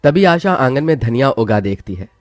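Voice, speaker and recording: A man's voice: medium pitch, sing-song, normal speed, no echo, clean studio sound. A man reading a scripted moral story as its narrator, with music behind him.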